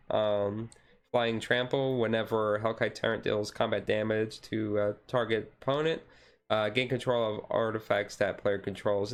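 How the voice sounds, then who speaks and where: A man giving casual commentary on a card deck as a streamer, thinking aloud in a halting way. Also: fairly low pitch.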